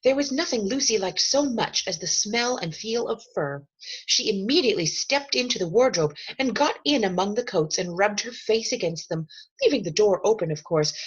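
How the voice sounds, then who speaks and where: Animated, husky woman reading a storybook. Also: mid-range voice, muffled recording. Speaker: storyteller reading a children's novel aloud. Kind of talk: expressive storybook narration.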